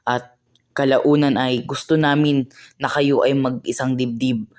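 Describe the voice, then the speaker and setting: A woman's voice: a bass voice, steady tone, a little slow, a little mellow. A woman playing a stern older father who speaks firmly and gives orders, in an amateur radio play. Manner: firm, commanding.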